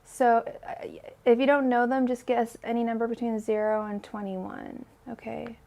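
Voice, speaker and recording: Young woman, tarot livestream host, casual chat, fry in the voice, weak-sounding today. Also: on the high side, little pitch movement, normal speed, mellow, slight background noise. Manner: chatty, filler-heavy, addresses viewers by name. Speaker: tarot reader hosting a livestream.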